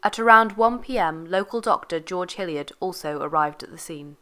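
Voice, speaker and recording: A woman's voice: on the low side, animated, moderate pace, pushed and bright. A woman reading a formal, factual historical narration from a script.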